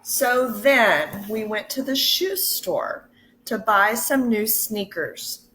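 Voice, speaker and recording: A woman teacher reading a picture book aloud to children in an expressive, deliberate way, pausing to put questions to her listeners. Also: fairly high pitch, low-quality recording. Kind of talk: storybook read-aloud.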